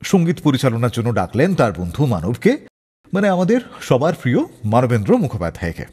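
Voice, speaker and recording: A man's voice: mid-range voice, huge pitch swings, brisk, darkish tone, slightly rough. A man hosting a radio show, telling stories between songs with dramatic phrasing. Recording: long reverb, slightly blurred voice, faint music. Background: faint music.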